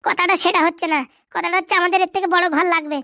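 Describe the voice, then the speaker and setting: Casting: brash comic cartoon schoolboy, acted out in a put-on voice, Bengali accent, piping high register, quick delivery. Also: steady tone, pushed and bright, dry recording, studio quality, heavily acted. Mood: reassuring. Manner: brash, colloquial, bantering. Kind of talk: Bengali comedy cartoon dialogue.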